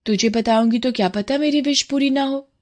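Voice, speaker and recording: Woman voicing a high, excitable spoiled little girl. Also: brisk, close to an everyday voice. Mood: boastful. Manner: childlike, self-assured. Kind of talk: children's cartoon dialogue.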